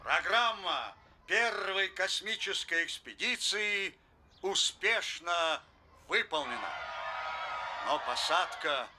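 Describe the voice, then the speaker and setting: A high, very sharp male announcer voice for a cartoon. He declaims slowly and ceremoniously, like an official space bulletin. There is faint noise behind him. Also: animated, full character voice. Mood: grave, urgent. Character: solemn, triumphant male space-mission news announcer. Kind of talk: dramatic scripted bulletin.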